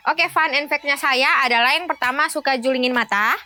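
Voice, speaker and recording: A woman's voice: sits high, a little fast, pushed and bright. A woman in her twenties from eastern Indonesia, introducing herself as a dating show contestant. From Sumba, East Nusa Tenggara, Indonesia. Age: 20s. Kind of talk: self-introduction in an interview. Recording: music playing behind the voice. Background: music.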